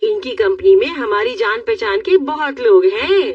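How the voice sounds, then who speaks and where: A woman's voice: fairly high pitch, lively intonation, moderate pace, slightly rough, no room sound, distant mic. A woman playing an insistent, fretful mother in a dubbed cartoon, firm and persuading. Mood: insistent, worried. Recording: muffled lo-fi cartoon audio.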